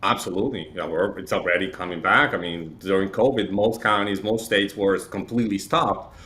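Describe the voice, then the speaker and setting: A man's voice: sits low, normal speed, bright, forceful tone. Conversational man from Chile, explaining. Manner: explanatory, uses frequent "right?" tags. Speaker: interview guest, real estate data provider. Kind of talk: conversational interview talk.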